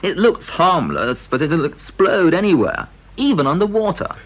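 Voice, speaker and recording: Husky, animated young British researcher. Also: male voice, on the high side, moderate pace, highs cut off. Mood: earnest, keen. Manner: apologetic, earnest, hesitant with superior. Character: eager, deferential junior researcher, flustered but keen. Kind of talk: British radio drama acting.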